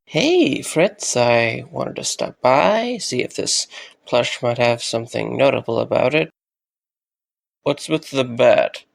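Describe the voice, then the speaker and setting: Man acting young man, audio drama, gravelly creak, animated pitch, weary, dry humor, stubborn. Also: slow pace, no echo. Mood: puzzled, tired. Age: young adult.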